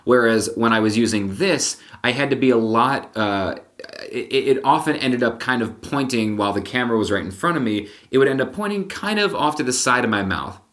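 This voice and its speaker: A man with a deep voice, vlogging casually to the camera and explaining gear with frequent restarts. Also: animated, fairly quick, fairly bright tone. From Connecticut, USA. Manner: chatty, explanatory, with filler restarts. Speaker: vlogger and magician. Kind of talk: casual vlog talk to camera. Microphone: camera-mounted shotgun mic.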